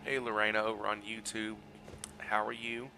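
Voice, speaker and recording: Sick guy streaming a game, scratchy hoarse voice, low and raspy, chatty walkthrough. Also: moderate pace. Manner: relaxed, explanatory, chatty asides to chat. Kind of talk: casual gameplay walkthrough commentary.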